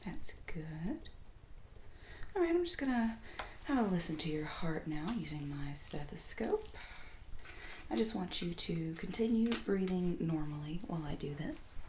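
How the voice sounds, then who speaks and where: A woman doing ASMR, playing a doctor at a checkup, soft-spoken and often whispering as she asks gentle questions close to a sensitive mic. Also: on the low side, very animated, a little hiss or hum. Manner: slow, gentle clinical questions. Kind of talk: ASMR medical exam roleplay.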